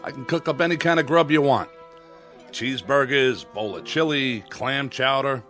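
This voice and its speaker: A man's voice: medium pitch, normal speed, fairly bright tone, soft background music, close to an everyday voice. A man playing a chatty, curious diner owner in a radio drama, with a folksy, plain-spoken way of talking. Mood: hospitable, breezy. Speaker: actor in a radio play.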